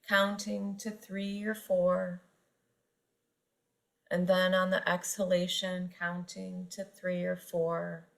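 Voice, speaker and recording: A woman guiding a yoga nidra meditation, speaking gently and unhurriedly with long pauses between phrases. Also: on the low side, gravelly. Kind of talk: guided meditation narration.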